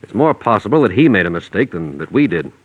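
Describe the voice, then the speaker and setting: Dogged American investigator, calm, lowish voice, dry sound, old-time radio crime drama. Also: male voice, quick pace, husky, no room sound, narrow, tinny audio, full character voice. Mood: calculating. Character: shrewd, dogged private investigator, calm and calculating. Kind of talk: 1940s radio mystery acting.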